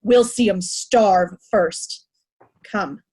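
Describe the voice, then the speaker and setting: Woman playing a slow-speaking old deposed king. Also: medium pitch, darkish tone, husky. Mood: defiant. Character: frail old deposed king, tender and defiant. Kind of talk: Shakespearean verse read in reader's theatre.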